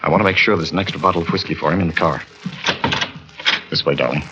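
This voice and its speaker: An actor playing a suave, cold-blooded lover plotting murder in a 1940s radio drama, smooth and persuasive. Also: male voice, low voice, fast, lightly acted. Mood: cold, calculating. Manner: smooth, persuasive, falsely hearty. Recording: muffled vintage 1940s radio, loud music behind.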